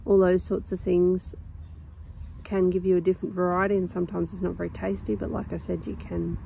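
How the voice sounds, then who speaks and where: A young woman with a croaky sore throat, chatting casually and rambling with lots of ums while filming her garden outdoors on a phone. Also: lowish voice, level tone, fairly quick, highs cut off, a little hiss or hum. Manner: rambling, chatty, with many ums and soft laughs. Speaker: gardening vlogger. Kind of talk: casual chat.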